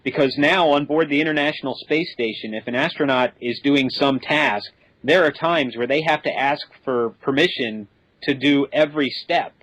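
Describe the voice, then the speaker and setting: Male expert guest, medium pitch, conversational explainer, dry recording. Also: moderate pace, fairly bright tone, dry recording, rough recording.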